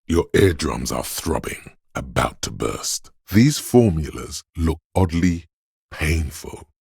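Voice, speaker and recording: A man voicing a grim, goading inner voice of pain and endurance in a video game, narrating with slow, weighty, intense delivery and a husky, gravelly tone. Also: extremely low pitch, expressive, darkish tone, no room sound, clean studio sound, full character voice. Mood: anguished, reverent.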